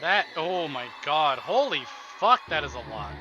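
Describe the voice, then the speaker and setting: A man with a fairly high, very animated voice gives casual livestream commentary on a game. He is expressive and profane, slipping into muttering to himself as he chats with viewers. Music plays clearly behind his voice. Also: a little slow, muffled recording. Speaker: live streamer.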